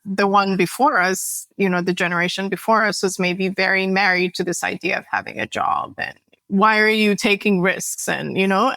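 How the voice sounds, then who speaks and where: A woman in her forties who grew up speaking Sudanese Arabic, talking in a relaxed, thoughtful way and filling her sentences with "you know" and "like." Her voice sits at a medium pitch and sounds close and dry, with no room echo. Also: vocal fry. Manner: reflective, frequent fillers and soft laughs. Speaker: guest. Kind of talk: casual interview conversation.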